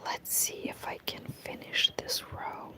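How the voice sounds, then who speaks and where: A woman's voice: a little slow, bright, forceful tone. A woman with a Romanian accent chatting casually to viewers while she knits, rambling and often dropping into a whisper. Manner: rambling, talks to herself, drifts into whispers. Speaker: knitting streamer. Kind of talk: casual chat while knitting. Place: home room with curtains.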